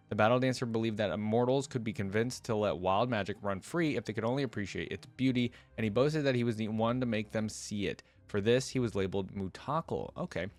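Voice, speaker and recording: A man reading fantasy lore aloud and commenting on it in a casual, informal way, as a reaction video creator. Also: on the low side. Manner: casual, informal asides between read passages.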